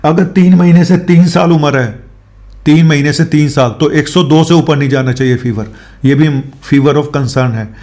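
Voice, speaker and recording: A man's voice: a little high, a little slow, mellow. A man speaking as a doctor on his health show, explaining informally with English medical terms mixed in and frequent tag questions.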